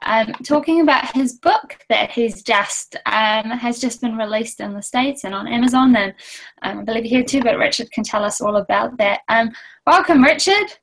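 A woman from New hosts a casual webinar. Her voice sits a little high, and she is friendly and relaxed with fillers. She talks through an earphone mic from a small room at home. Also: a little hiss or hum, rough recording. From New Zealand. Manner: friendly, quick to laugh, uses fillers. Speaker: webinar host. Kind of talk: hosting a casual webinar interview. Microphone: earphone headset on a video call.

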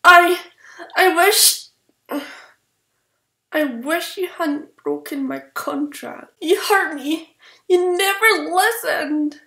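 A woman's voice: extremely high pitch, takes it slowly, sharp tone, exaggerated voice. A woman playing a feisty, girlish young princess with an emphatic, petulant delivery in a comic fandub. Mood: hurt, furious. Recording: roomy echo, faint music behind.

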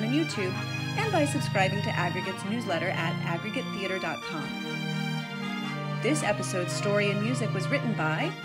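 A woman hosting a family audio drama, talking directly to children in a friendly, encouraging way. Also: mid-range voice. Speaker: host. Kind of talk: warm scripted outro for children. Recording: voice over background music.

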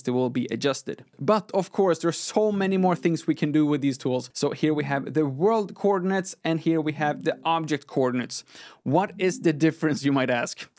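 A young man, a non-native English speaker, hosting a conversational software tutorial with an informal, step-by-step explanatory manner into a close microphone. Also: on the high side. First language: not English. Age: young adult. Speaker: tutorial host. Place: small room at a desk, indoors. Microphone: close microphone on an arm.

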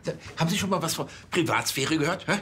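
A man's voice: a little high, expressive, fast, fairly bright tone, slight background noise. An actor playing an irritable, bossy male police chief, clipped and flustered, in a TV comedy. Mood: irritated, flustered. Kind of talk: TV crime comedy acting.

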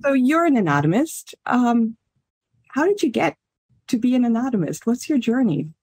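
A woman's voice: fairly high pitch, very animated, normal speed, darkish tone, slightly rough, no echo. A woman co-hosting a podcast, welcoming a guest and asking questions with enthusiasm. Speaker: podcast co-host. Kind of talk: casual podcast interview.

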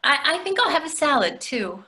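Bright female voice, animated intonation, actress as hesitant conciliatory diner, comedy play reading. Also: sits high, fairly quick, full character voice. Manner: hesitant, placating. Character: polite, hungry New York tourist diner, conciliatory. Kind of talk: readers theatre comedy acting. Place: plain room indoors on a video call. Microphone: video call microphone.

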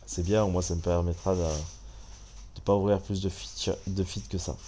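A man's voice: deep voice, normal speed, slight background noise. A man with a French accent and a stuffy head cold, chatting casually as he comments on a mobile game. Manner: relaxed, chatty, many fillers. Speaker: gaming channel host.

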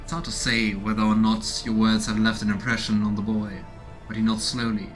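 A man's voice: lowish voice, monotone, a little fast. Man in his thirties, game music behind. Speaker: let's play streamer voicing a game. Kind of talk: casual game commentary and read-aloud narration. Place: small room indoors at home.

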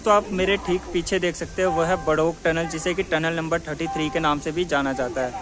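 Guy vlogging a toy train ride, slightly high voice, tour-guide chatter, music under it. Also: fairly even intonation, brisk. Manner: chatty tour-guide telling, keeps inserting a stock filler phrase. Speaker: travel vlogger. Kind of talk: travel vlog narration. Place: aboard a mountain toy train and on station platforms, outdoors.

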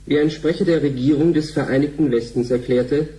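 A man playing a sober news reader, delivering a formal, measured bulletin in a sci-fi radio drama. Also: a little high, mellow, lightly acted. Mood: sober, official. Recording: very dull sound, faint noise.

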